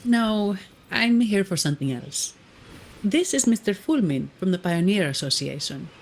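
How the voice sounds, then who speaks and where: A woman playing a composed, analytical young investigator in a video game, speaking in a measured, matter-of-fact way. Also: mid-range voice, expressive, a little hiss or hum, lightly acted, rough recording. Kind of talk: video game dialogue acting.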